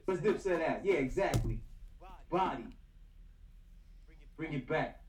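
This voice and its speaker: A man, co-hosting a sports podcast in loud, boastful street slang. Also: a little high, lively intonation, unhurried, reverberant. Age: 50s. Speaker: podcast co-host. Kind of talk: casual chat.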